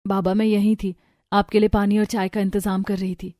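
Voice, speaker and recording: A woman's voice: medium pitch, flat delivery, normal speed, mellow, natural voice. A woman voicing a meek, mistreated young daughter in a cartoon story, plaintive and earnest. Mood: eager. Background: music.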